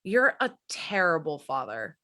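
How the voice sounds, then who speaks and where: A woman's voice: sits low, very animated, measured pace, bright, forceful tone, heavily acted. A woman acting a sullen, defiant sixteen-year-old daughter who is confrontational and profane, in an amateur script read. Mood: resentful.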